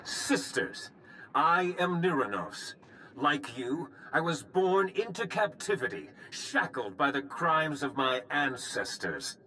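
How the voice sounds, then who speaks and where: An actor playing a defiant, charismatic prisoner ringleader in a video game, delivering impassioned rallying oratory on a noisy, rumbling recording. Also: male voice, bright, forceful tone, heavily acted.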